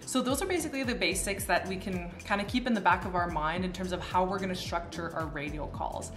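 A woman's voice: fairly low pitch, fast, over music. A woman in her thirties with a Canadian accent, explaining things to camera in a chatty, informal teaching style. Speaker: pilot and video host. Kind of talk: casual educational explainer to camera. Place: small furnished room indoors. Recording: roomy, echoey indoor sound.

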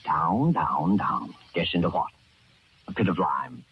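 An actor playing a genteel, menacing killer who gloats with mock courtesy and rambles on, in 1940s radio horror style. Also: male voice, low-pitched, expressive, unhurried, slightly rough, full character voice. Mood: gloating menace. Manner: rambling, self-satisfied, chuckling, mock-courteous. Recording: dull, noisy old-time radio recording.

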